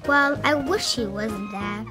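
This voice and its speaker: A young woman reading rhyming children's picture books aloud in an expressive, playful storytelling style. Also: fairly high pitch, takes it slowly, music in the background. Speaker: children's picture book reader.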